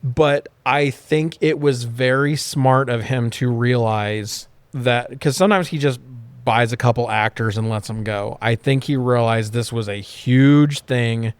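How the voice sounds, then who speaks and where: A man's voice: medium pitch, a little slow, hardly any pauses, clean tone, no room sound. Rambling male podcast co-host, dry close sound. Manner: rambling, informal, swears casually. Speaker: podcast co-host reviewing movies. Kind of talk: casual unscripted discussion.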